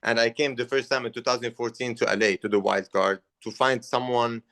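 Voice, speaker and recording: A man's voice: mid-range voice, little pitch movement, vocal fry, a bit bright. Earnest Lebanese-accented young man. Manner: earnest, halting with restarts. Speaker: guest boxer. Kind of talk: interview answers.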